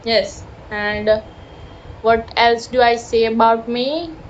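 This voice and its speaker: A woman's voice: on the high side, takes it slowly. A young woman from South Gujarat with a Gujarati-influenced Indian English accent, chatting in a casual, rambling vlog with many fillers and apologies. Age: young adult. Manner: rambling, self-deprecating, apologetic, frequent fillers. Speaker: vlogger. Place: outdoors near busy traffic with honking. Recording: dull lo-fi phone audio with faint street noise.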